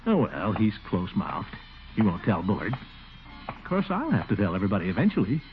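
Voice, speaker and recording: An actor playing a pompous, flustered middle-aged schemer in a 1940s radio comedy, blustering and self-satisfied. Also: male voice, lowish voice, huge pitch swings, fairly quick, narrow, tinny audio, over music. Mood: flustered, scheming. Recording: scratchy, band-limited 1940s radio broadcast.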